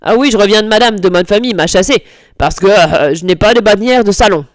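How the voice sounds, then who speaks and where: A woman's voice: mid-range voice, fairly quick, no room sound, close to an everyday voice. Easygoing alley tomcat, offhand and breezy, woman voicing him, dry sound. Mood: cheerful. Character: easygoing male alley cat, carefree.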